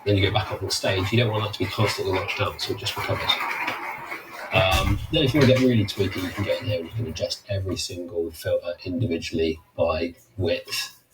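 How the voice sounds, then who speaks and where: Really low voiced guy demoing a mixer, informal, sounds away from the mic. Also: steady tone, fast talker, background noise. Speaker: product specialist demonstrating a mixer. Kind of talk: casual product demonstration. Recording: distant microphone.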